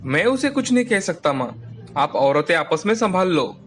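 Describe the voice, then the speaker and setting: Resigned grown son, played by a man, highish voice. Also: sing-song, moderate pace, a bit bright, slight background noise. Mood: resigned reproach.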